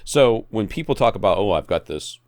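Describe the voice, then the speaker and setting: Low-voiced man with lively, swinging intonation. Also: a little fast, dry recording. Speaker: podcast co-host. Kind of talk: casual home theater discussion.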